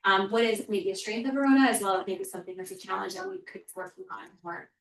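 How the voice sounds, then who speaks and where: A woman serving as council president, chairing a borough meeting in a polite, measured, formal way. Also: medium pitch, creaky, a bit bright, slight background noise. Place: municipal council chamber. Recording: echoey chamber audio from far off.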